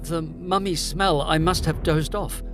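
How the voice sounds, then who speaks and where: An actor playing an uneasy, impressionable gentleman, giving brooding first-person narration in a gothic audio drama. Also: fairly high pitch, steady tone, measured pace, faint music behind. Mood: haunted, brooding. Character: uneasy, impressionable gentleman recounting eerie nights.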